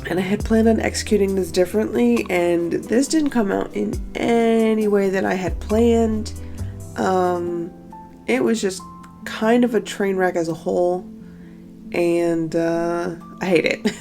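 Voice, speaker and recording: A young woman with a hoarse, stuffy morning voice, chatting casually and self-critically about her own paintings in an art vlog. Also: mid-range voice, steady tone, measured pace, dark tone, faint music behind. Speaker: art vlogger. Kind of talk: casual talking-head recap.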